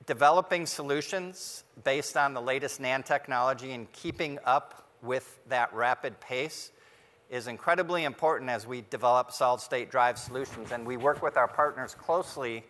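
A man presents a prepared keynote in a mid-pitched, bright and crisp-edged voice. His phrasing is measured and deliberate, with pauses between points. The sound has the long reverb of a large conference ballroom. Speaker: technology executive giving a keynote. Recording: hall reverb from a large venue.

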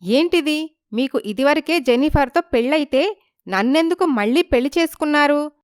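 A woman's voice: high voice, expressive, normal speed, no echo, clean studio sound, pitched above the performer's own voice. A woman voicing a naive village wife in a moral story, wronged and indignant, pleading and questioning. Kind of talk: dramatized moral story dialogue.

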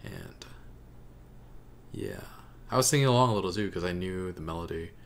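Young adult male reaction host, low voice, casual commentary, close desk mic in a home room. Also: wildly swooping pitch, normal speed, gravelly, a little hiss or hum. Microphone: desk microphone close in front.

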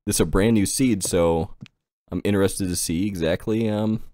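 A man's voice: sits low, moderate pace, warm tone, dry recording, clean studio sound. A man giving casual, profane and amused commentary as he plays a video game. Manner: amused, giggly, easygoing. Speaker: game streamer, channel host. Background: game music.

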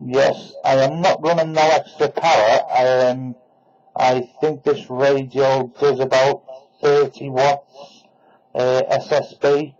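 A man's voice: mid-range voice, flat delivery, takes it slowly, darkish tone. Male hobby radio operator, polite and hesitant, dull lo-fi sound. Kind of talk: radio contact exchange.

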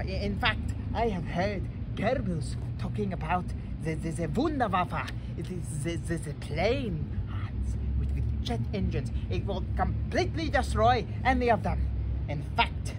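A young man playing a desperate, fanatical German soldier in an amateur war parody, stammering and melodramatic. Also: sits high. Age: young adult. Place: outdoors on an urban vacant lot. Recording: noisy outdoor recording with traffic.

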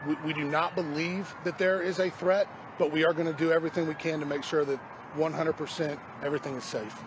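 A middle-aged man speaks as a fire department spokesman at an outdoor press briefing, stopping and restarting his words. His voice sits a little high for a man. Steady traffic and street noise run behind him. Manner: halting, repeats words when unsure. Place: outdoors by a parking lot. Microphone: news camera mic, close.

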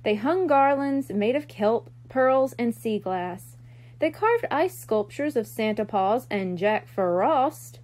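A woman reading a children's book aloud as a library storyteller, expressive and clearly phrased. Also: fairly high pitch, slow pace.

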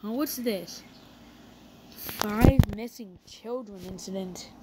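A woman chatting in character during a casual game roleplay, breaking into excited exclamations. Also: mid-range voice, takes it slowly, heavy background noise. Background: loud game music.

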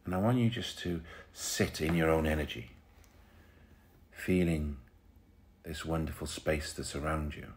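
A man leading a guided meditation in a soothing, unhurried way, pausing between short phrases. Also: rumbling low.

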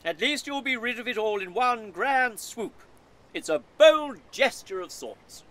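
A man's voice: very high-pitched, expressive, a little slow, a bit bright, heavily acted. A man playing a helpful, eager, polite neighbour in a radio comedy play. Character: helpful, earnest, community-minded male neighbour.

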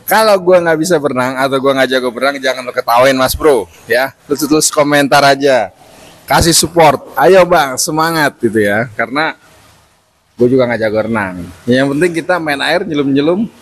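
A man's voice: medium pitch, normal speed, fairly bright tone. A middle-aged man vlogging in casual chat to camera, joking and laughing often, filmed by a camera mic at an indoor rooftop pool.

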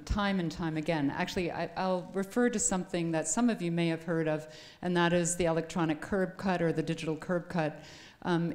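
Middle-aged woman panelist, deep-voiced and explanatory. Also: a little mellow. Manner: explanatory, with fillers and restarts. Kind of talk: panel discussion. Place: conference stage in a hall. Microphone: handheld mic.

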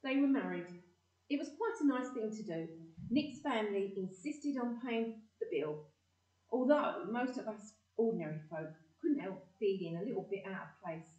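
A woman's voice: on the high side, lively intonation, moderate pace, mellow, natural voice. An actress playing a homely working-class woman who narrates a family story in a chatty, wry way for an amateur audio play. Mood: wry, reminiscing. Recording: echoey room, distant mic.

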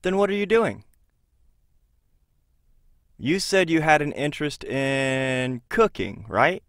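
A man playing a patient but probing counselor in an audio drama, questioning in a halting way. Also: on the high side, expressive, fairly bright tone, no room sound, clean studio sound, full character voice.

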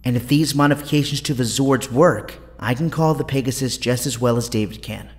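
A man's voice: mid-range voice, fairly quick, natural voice. A man playing a brainy, earnest young hero in an audio drama, with precise speech. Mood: resolute. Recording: long hall reverb.

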